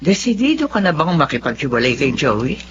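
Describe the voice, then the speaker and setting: Radio drama role: practical middle-aged grandmother, lowish voice, animated intonation, concerned. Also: brisk. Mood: serious, resigned. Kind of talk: Tagalog radio drama acting. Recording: dull lo-fi, noisy background.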